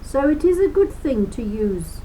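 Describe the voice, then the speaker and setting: A woman's voice: high-pitched, sing-song, a little slow, warm tone, slight background noise, poor audio. A woman reading a children's book aloud as a lesson, explaining as she goes with chatty asides and stressing key words.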